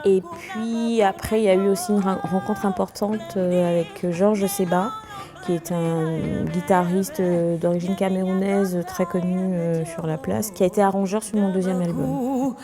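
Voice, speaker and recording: A young woman from Togo, a singer, answering interview questions calmly and thoughtfully, with many hesitations. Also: medium pitch, normal speed, over music.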